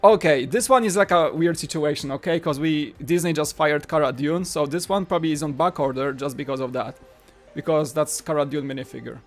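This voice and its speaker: A man's voice: a little high, brisk. A man in his thirties, a native Polish speaker, hosting a casual livestream in a rambling way, often repeating phrases. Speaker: livestream host. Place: small home studio room. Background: background music.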